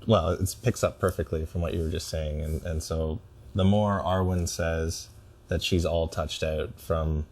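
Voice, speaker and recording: A man's voice: a bass voice, darkish tone. A man speaking as a client in couples therapy, reflecting on his relationship with hesitant, careful phrasing. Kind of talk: reflective personal conversation.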